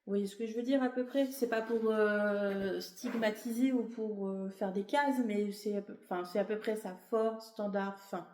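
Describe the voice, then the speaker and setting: A woman's voice: medium pitch, steady tone, normal speed, few pauses, a little mellow, echoey room, a little hiss or hum. A woman with a cold, a little tired, explaining her knitting in a chatty, rambling way, full of fillers and self-corrections. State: has a cold; a bit tired. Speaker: knitting vlogger. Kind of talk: casual show-and-tell explanation.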